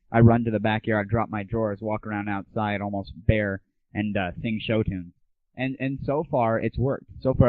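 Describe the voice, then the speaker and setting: A man's voice: lowish voice, dry recording. An American man hosting a show with a casual, comic monologue style. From United States. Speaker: show host. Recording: dry, phone-like lo-fi audio.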